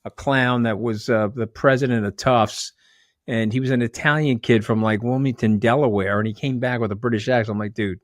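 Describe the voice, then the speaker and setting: A man's voice: mid-range voice, fairly even intonation, fairly quick, no room sound. A middle-aged man hosts the interview. He asks his questions bluntly and keeps the banter loose and joking. His voice is dry and close to the mic. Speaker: podcast host.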